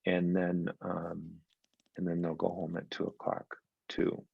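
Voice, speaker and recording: A man giving a school principal's report at a parents' meeting, relaxed and rambling with fillers. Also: low voice, measured pace, gravelly, slightly rough, no echo, phone-quality sound. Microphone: video call.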